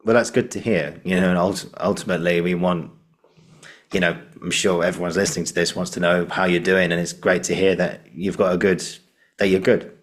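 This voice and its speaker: A man's voice: low voice, steady tone, brisk. A man hosting a casual interview, informal and encouraging, often repeating himself for emphasis. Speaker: podcast host.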